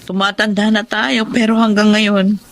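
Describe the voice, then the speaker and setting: A woman's voice: medium pitch, little pitch movement, normal speed, natural voice. A woman playing a wistful longing wife. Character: Isabel, gentle childless wife longing to adopt. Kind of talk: radio drama acting.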